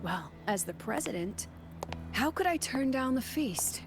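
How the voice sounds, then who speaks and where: An actress voicing a composed, cryptic young woman academy president in a video game, speaking with measured poise. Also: high voice, sing-song, noisy background, exaggerated voice. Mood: sly, poised.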